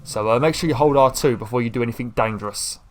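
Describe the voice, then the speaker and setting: A man with a croaky voice, giving rambling casual commentary while playing a video game. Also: medium pitch, fast talker, a little hiss or hum. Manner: rambling, chatty, self-deprecating. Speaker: gaming YouTuber.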